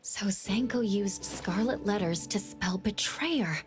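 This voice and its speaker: A woman's voice: medium pitch, slow, close to an everyday voice. A woman voicing an earnest, thoughtful young investigator in an anime-style game. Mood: pensive, concerned. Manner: earnest, reasoning aloud. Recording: faint music behind the voice.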